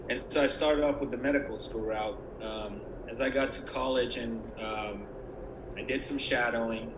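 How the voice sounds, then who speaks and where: A man's voice: medium pitch, fairly even intonation, normal speed. Echoey male dentist presenting informally. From born and raised in Iran until age ten, then the United States. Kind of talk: informal online presentation to students. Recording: echoey room with clear background noise.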